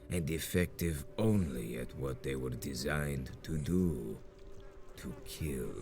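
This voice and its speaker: An actor playing a menacing, grandiose vampire leader in a video game, speaking in slow, solemn, ornate phrases with cold seduction. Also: male voice, rumbling low, heavily acted. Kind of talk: video game villain dialogue.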